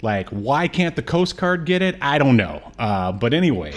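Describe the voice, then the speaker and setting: A man's voice: medium pitch, big pitch swings, moderate pace. A middle-aged man co-hosting a podcast over a video call, discussing movies casually in a joking manner. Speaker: podcast co-host. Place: indoor room, video call.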